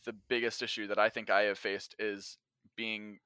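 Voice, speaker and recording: A man speaking as an interview guest, explaining his thinking in casual, measured conversation with examples. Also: on the low side, flat delivery, bright, forceful tone, smooth, dry recording. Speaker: podcast guest, audio drama writer and actor.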